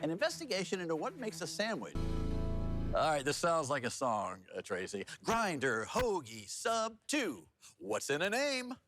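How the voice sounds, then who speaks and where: A male TV news anchor with a slightly high, very animated voice. He reads in a casual, folksy way and slips easily into playful, jokey banter. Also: normal speed, no echo, faint music behind. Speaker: TV news anchor and feature reporter.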